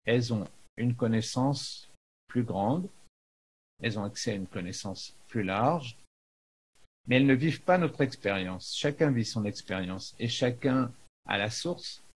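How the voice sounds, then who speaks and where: A man giving an unscripted spiritual talk as a teacher, in a halting manner with frequent pauses in the middle of phrases. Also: fairly low pitch, husky. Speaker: spiritual teacher, video maker.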